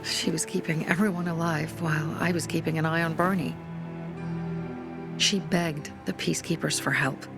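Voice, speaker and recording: An actress playing a resolute, hardened young survivor leader in a video game, earnest and measured. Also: female voice, low voice, vocal fry. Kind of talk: naturalistic video game acting.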